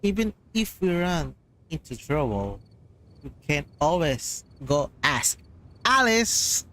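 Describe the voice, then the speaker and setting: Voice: mid-range voice, big pitch swings, takes it slowly, fairly bright tone, low-quality recording. A game streamer with a Filipino accent and Tagalog as first language, commenting casually on a livestream. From Philippines.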